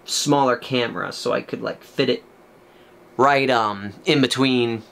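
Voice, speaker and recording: A young man casually talking through painting a miniature, chatty and rambling, with a loud projected voice, in a small room at home. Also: medium pitch, measured pace, vocal fry. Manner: rambling, chatty, many fillers. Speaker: miniature painting channel host. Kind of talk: casual tutorial commentary while painting.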